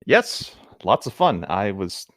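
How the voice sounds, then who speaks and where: A man's voice: lowish voice, sing-song, slow pace, a bit bright, dry recording, clean studio sound. A man co-hosting in a casual style, bantering and reading aloud in a mild-mannered way. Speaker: co-host of a fan fiction reading show.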